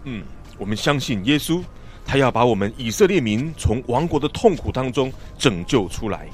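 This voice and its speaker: An actor playing a talkative, weary village traveler in a radio drama, speaking in an emphatic, sighing, storytelling way. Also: male voice, medium pitch, lively intonation, moderate pace, faint music behind, close to an everyday voice. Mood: earnest.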